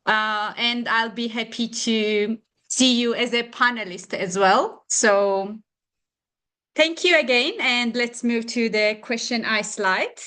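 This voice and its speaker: Woman moderator, non-native English speaker, encouraging, bright tone. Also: on the high side, clear, resonant tone. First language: not English. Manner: encouraging, with halting grammar. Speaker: session moderator. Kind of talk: online mentorship session hosting.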